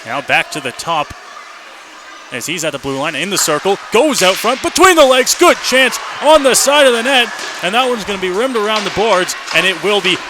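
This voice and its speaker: A male radio announcer with a fairly high voice calls a hockey game live. He describes play in short running phrases that can rise into excited shouts. The noise of the rink is clearly heard behind him. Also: normal speed. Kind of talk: live radio sports commentary. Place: indoor hockey arena. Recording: noisy live arena broadcast. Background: loud rink ambience.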